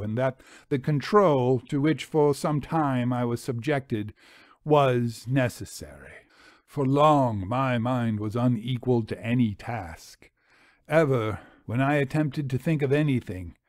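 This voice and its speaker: A man's voice: mid-range voice, no echo, studio quality, natural voice. A man reading as the haunted narrator of a Victorian tale, in a grave, literary storytelling manner. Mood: haunted, subdued. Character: haunted first-person male narrator of a Victorian mesmeric tale.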